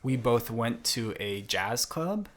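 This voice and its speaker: A young man as a podcast guest, chatting in a thoughtful, unhurried way with many fillers and restarts. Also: mid-range voice, very animated, fairly bright tone. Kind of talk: casual interview conversation.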